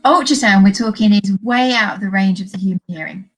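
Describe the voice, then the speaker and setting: A woman's voice: mid-range voice, brisk. A woman hosting an informal educational interview, chatty, putting things in plain terms and asking questions. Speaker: host.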